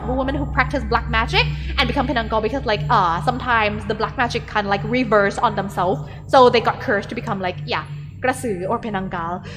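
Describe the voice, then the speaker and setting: A woman's voice: fairly high pitch, a bit bright, in a large hall. Chatty Thai-accented woman streamer. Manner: chatty, filler-heavy, thinks aloud with frequent "like". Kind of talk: casual chat reading viewer messages.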